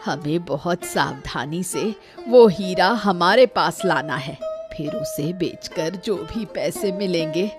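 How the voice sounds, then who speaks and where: A woman's voice: lowish voice, wildly swooping pitch, slow, over music, exaggerated voice. A woman voicing a greedy, bossy, scheming old village woman in a cartoon moral story.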